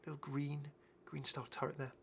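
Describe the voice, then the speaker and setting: A man's voice: fairly high pitch, little pitch movement, moderate pace, gravelly, a bit bright, a little hiss or hum. A middle-aged man giving enthusiastic, casual, chatty commentary as a gaming video host, often repeating his phrases. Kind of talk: casual game skin showcase commentary.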